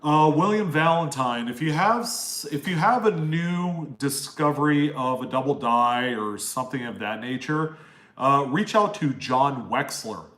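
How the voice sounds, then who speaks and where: A man with a nasal voice at a middle pitch, chatting loosely and warmly with his viewers. He rambles from one question to the next and greets people by name. The sound has an echoey, roomy feel. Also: animated, measured pace. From East Coast US, Maryland. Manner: rambling and friendly, reading out viewer names. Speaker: live stream host answering chat questions. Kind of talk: casual live Q&A chat.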